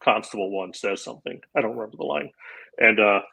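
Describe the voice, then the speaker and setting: A man in his forties, a comedian guest on a podcast, telling a long anecdote in a casual, animated way and acting out the lines of the people in it. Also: on the low side, fast, vocal fry, slightly rough. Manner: animated anecdote-teller, acts out quoted lines. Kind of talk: casual storytelling.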